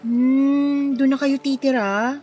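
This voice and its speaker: An actress with a Filipino accent playing a friendly, playful young local woman in a radio drama, lively and coaxing. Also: high-pitched, slow, faint music behind. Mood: curious. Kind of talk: Tagalog radio drama acting.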